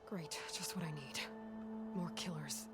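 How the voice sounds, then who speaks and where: A young warrior woman from a video game, resolute but weary. Her voice sits fairly low and she speaks in a reflective, understated way. Loud music plays over her. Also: measured pace. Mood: grim resolve.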